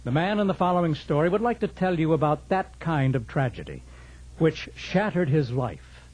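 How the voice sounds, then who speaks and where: A man's voice: on the high side, big pitch swings, normal speed, darkish tone, no room sound, rough recording. A man with an American accent reading scripted narration as the announcer of a radio drama.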